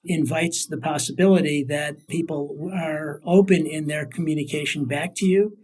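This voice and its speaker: Man, fairly high pitch, expert interviewee, deliberate, careful, hesitant phrasing, explanatory. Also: steady tone, a little mellow, husky. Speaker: academic expert guest.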